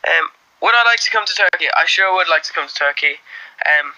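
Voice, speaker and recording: Bright-voiced young man chatting on a livestream. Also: on the high side, brisk, lo-fi sound. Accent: faint Irish, mostly worn off. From Ireland. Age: young adult. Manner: rambling, relaxed, many fillers and restarts. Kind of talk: casual livestream chat reading comments. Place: small indoor room. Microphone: phone held close.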